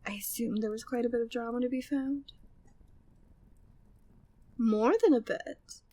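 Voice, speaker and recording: A woman's voice: on the high side, steady tone, unhurried, dark tone, dry recording. A woman playing a flirty, devoted, gossipy maid at a manor in an ASMR audio drama, speaking affectionately and teasingly in formal period wording. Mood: curious, playful.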